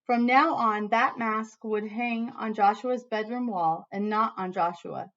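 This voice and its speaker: A woman teacher reading a storybook aloud to students in an animated, emphatic way, with casual asides. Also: a little high, a little slow, dull audio.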